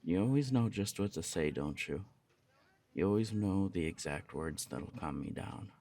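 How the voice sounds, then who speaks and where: A man playing a jealous, lovesick young punk roommate in an ASMR roleplay audio drama, speaking in a halting, confessional way with swearing. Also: deep voice, animated, a little mellow. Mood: apologetic, subdued.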